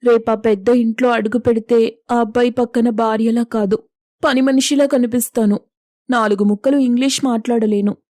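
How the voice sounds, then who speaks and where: A woman's voice: fairly high pitch, steady tone, moderate pace, mellow, dry recording, studio quality, lightly acted. A woman playing a humble, self-sacrificing young village elder sister in a moral story, earnest and pleading. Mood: tearful, pleading. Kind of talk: Telugu moral story dialogue.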